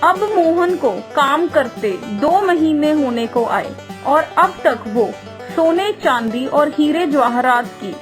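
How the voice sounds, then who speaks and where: Woman narrating a kids cartoon story, high voice, takes it slow, music behind. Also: expressive.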